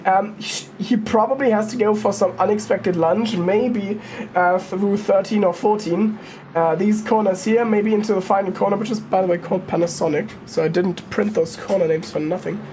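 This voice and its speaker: A woman's voice: medium pitch, fairly even intonation, moderate pace, mellow, slight background noise. A woman giving casual live commentary on a sim race, thinking aloud and correcting herself as she goes. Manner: informal, thinking aloud, hesitant self-correcting.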